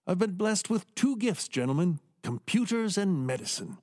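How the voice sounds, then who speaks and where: A man's voice: high-pitched, sing-song, moderate pace, a little mellow. A man playing a charming, brilliant neurosurgeon in a radio drama, speaking expansively and persuasively. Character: brilliant, charming middle-aged male neurosurgeon.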